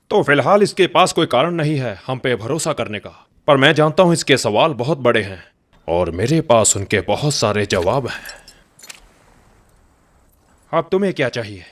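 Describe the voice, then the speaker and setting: A man's voice: mid-range voice, lively intonation, normal speed, a bit bright, close to an everyday voice. A man voicing a sly older male king of the gods in a dubbed game cutscene, wry and commanding.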